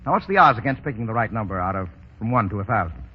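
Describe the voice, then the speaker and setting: Husky, cocky 1930s gangland tough guy. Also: fairly low pitch, big pitch swings, very fast. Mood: persuasive. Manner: clipped gangland slang, sardonic. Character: cocky, shrewd tough racketeer, wry and commanding. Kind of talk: 1930s radio drama acting. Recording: dull old 1930s radio broadcast.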